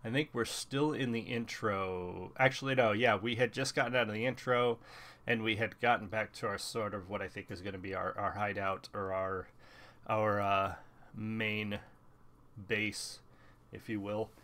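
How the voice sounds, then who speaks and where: Stuffy, slightly hoarse gaming host. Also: male voice, fairly low pitch, moderate pace, a little hiss or hum. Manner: rambling, relaxed, many ums. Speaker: let's play host. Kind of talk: casual gameplay commentary. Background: faint game music.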